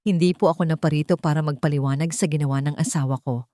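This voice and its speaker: A woman voicing a humbled, remorseful young widower who speaks haltingly and politely, his voice hoarse. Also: a little high, steady tone, dark tone, no room sound, lightly acted. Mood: pleading.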